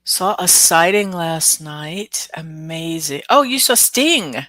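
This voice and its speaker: A woman's voice: low-pitched, lively intonation, takes it slowly, pushed and bright, no echo. A woman hosting a live stream, chatting casually with viewers and greeting them by name. She sounds stuffed up and nasal from the flu. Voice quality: nasal, congested. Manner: chatty, greets commenters by name, laughs often. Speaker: live stream host, oracle card reader.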